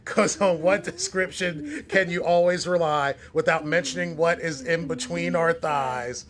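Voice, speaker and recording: A man's voice: fairly high pitch, unhurried, crowd noise. A man hosting a casual livestream interview, asking playful, teasing questions. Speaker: livestream host.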